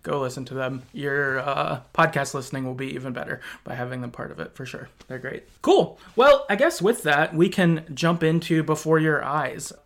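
A man with a voice a little on the high side hosts a podcast. He speaks casually, in an agreeable, affirming manner. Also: moderate pace, creaky, few pauses, fairly bright tone. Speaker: podcast host.

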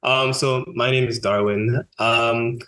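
A man from Brooklyn, a podcast guest speaking in a relaxed, hesitant way with many ums. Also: mid-range voice, slow pace. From Brooklyn, New York. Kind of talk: casual interview talk.

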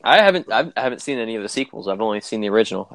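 A man's voice: lowish voice, big pitch swings, racing, gravelly, a bit bright, no room sound. Laid-back young man, dry close sound. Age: mid 20s. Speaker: podcast co-host. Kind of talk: casual chat.

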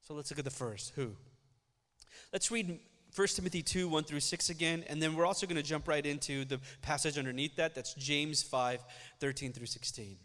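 A young man preaching a Sunday sermon as pastor, his first language Malayalam, speaking in an earnest, conversational way that grows more energetic as he preaches. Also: a little high, normal speed. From United States. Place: church sanctuary. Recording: church hall reverb.

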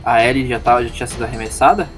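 A man's voice: medium pitch, racing. A man, a tabletop game player casually explaining the moves he wants to make. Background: background music.

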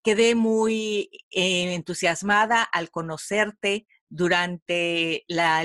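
A woman hosting an online radio interview, speaking in a measured, formal way and asking long, winding questions. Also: fairly low pitch, a bit bright. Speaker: host and interviewer.

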